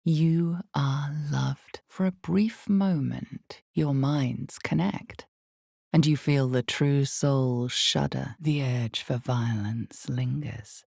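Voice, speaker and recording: An actress playing the grave, ominous narrator of a dark fantasy video game, speaking in a measured, theatrical way with a deep, gravelly voice. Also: female voice, fairly even intonation, dry recording, clean studio sound, full character voice. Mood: grave, brooding. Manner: measured, deliberate, brooding, theatrical. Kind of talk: dramatic scripted second-person fantasy narration.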